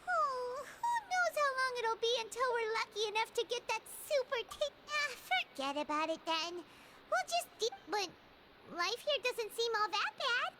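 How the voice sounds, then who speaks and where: A woman voicing a small, chirpy, naive fairy companion in a video game, with a squeaky childlike voice and exclamatory delivery. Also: very animated, moderate pace, heavy background noise. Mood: wistful, resigned. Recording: loud music behind the voice.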